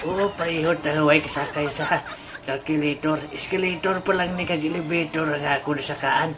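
A woman with a Western Visayan Philippine accent playing an excitable, bumbling friend in a comic audio drama. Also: low-pitched, moderate pace, natural voice. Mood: chatty, explaining. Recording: very noisy amateur audio drama recording.

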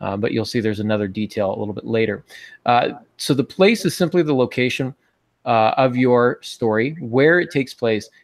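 A man's voice: mid-range voice, lively intonation, normal speed, no room sound. A man in his forties hosting a podcast about writing, talking conversationally in an easygoing, lightly joking way. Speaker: podcast host and writing teacher. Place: small home office, indoors.

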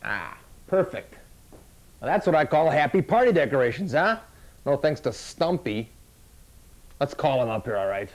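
A swooping, lively voice for a janitor hosting a parody kids' puppet show, played by a young man. He is coaxing and patronizing, with menace under the cheer. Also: mid-range voice, normal speed, pauses often, natural voice. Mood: forced cheer. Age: young adult. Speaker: host. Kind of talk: parody children's TV acting. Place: small indoor puppet-show studio set.

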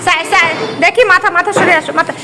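A woman's voice: very high-pitched, steady tone, quick pace. A woman with a Bangladeshi accent, a schoolteacher chatting casually with young children, coaxing and directing them and often asking questions. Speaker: schoolteacher hosting a class party. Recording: noisy room with children's chatter.

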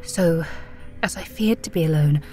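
An actress playing a frightened, weakened young woman, narrating in a breathy, dramatic whisper in an audio drama. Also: sits low, moderate pace, a little mellow, faint music behind, natural voice. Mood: fearful. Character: frightened, weakened young woman writing her last record.

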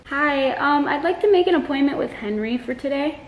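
A woman's voice: high voice, expressive, a little fast, reverberant, a little hiss or hum, poor audio. A young woman in her twenties chatting casually and bubbly in a home vlog, picked up on a camera mic across the room. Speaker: vlogger. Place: bedroom and living room at home.

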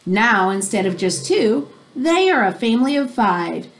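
A woman in her thirties reads a picture book aloud to children in an expressive, teacherly way, pausing to explain things. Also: a little high. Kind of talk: read-aloud storytelling with explanatory asides for children. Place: small room indoors at home. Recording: home recording with background noise.

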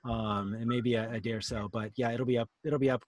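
A man in his fifties explaining things casually in a halting, think-aloud way on a video call. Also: mid-range voice, steady tone, dry recording. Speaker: workshop presenter. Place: small cluttered indoor room.

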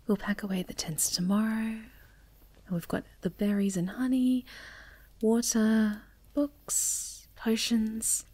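A woman playing a sweet, affectionate, polite moth monster girl in an intimate ASMR roleplay, gentle and often dropping toward a whisper. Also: mid-range voice, slightly rough, exaggerated voice. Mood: gentle, caring. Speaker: voice actor in an audio roleplay.

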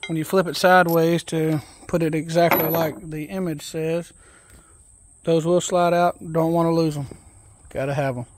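A man casually walking through an assembly job outdoors, reading steps aloud and thinking out loud in a relaxed, folksy way, recorded on a phone camera held close. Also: fairly high pitch, normal speed. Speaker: DIY video host. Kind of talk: casual step-by-step assembly walkthrough. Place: outdoors on a wooden deck.

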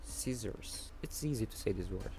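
Brazilian man with halting English. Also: lowish voice, lively intonation, creaky, mellow, a little hiss or hum. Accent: Brazilian Portuguese. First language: Portuguese. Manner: halting, searching for English words, chuckling often. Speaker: gaming channel host. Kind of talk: casual gameplay commentary.

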